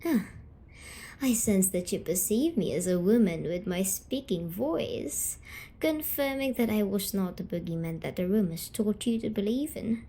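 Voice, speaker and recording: A woman voicing a gentle, teasing female sleep paralysis demon, speaking softly and soothingly in an ASMR story-telling roleplay. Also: mid-range voice, animated. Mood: gentle coaxing. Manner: slow, soothing, coaxing, softly spoken.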